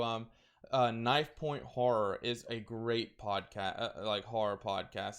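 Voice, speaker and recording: A man's voice: mid-range voice, clear, resonant tone. A man with a crackly voice chatting casually, rambling through recommendations with lots of fillers and restarts. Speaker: streamer recommending horror content.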